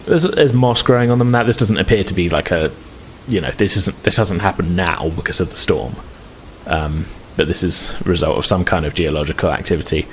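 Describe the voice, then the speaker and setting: A man's voice: deep voice, poor audio. A man running a tabletop game as game master, improvising descriptions and often groping for words. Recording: clear background noise.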